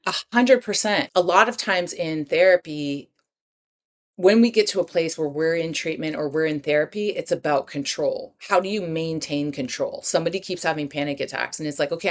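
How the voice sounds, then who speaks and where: A woman's voice: lowish voice, normal speed. A woman, a breathwork practitioner and writer, talking as a podcast guest in a passionate, rambling way full of asides and the odd swear word. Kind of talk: podcast interview talk.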